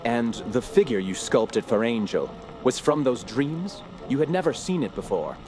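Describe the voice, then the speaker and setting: An actor playing an earnest, troubled scholar in a radio drama, speaking in a formal, measured, literary way. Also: male voice, medium pitch, expressive, natural voice. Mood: curious, probing. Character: earnest, troubled male scholar investigating a sinister cult. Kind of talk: radio drama narration and dialogue. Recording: music clearly behind the voice. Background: music.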